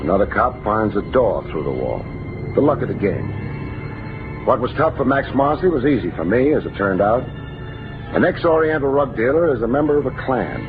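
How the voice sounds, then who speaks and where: An actor playing a wisecracking, hard-boiled private detective of about forty in an old radio drama, wry and clipped. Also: male voice, medium pitch, big pitch swings, moderate pace. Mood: wry narrating. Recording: dull old radio recording with music behind.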